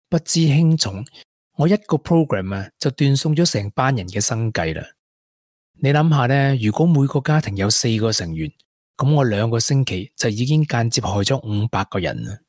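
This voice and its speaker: An actor plays a regretful man with a Hong Kong accent, earnestly telling his story in a radio drama. His voice sits at a medium pitch, recorded very dry and close. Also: a little mellow, dry recording, close to an everyday voice. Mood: bitter regret. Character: regretful young ex-tech prodigy, confessing to a woman. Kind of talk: Cantonese radio drama acting.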